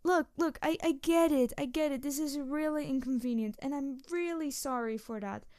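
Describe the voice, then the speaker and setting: A woman voicing an excitable, playful young tanuki spirit in a roleplay audio, rambling and stammering as she talks to the listener. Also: sits high, normal speed, no room sound, clean studio sound, lightly acted. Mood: apologetic, earnest.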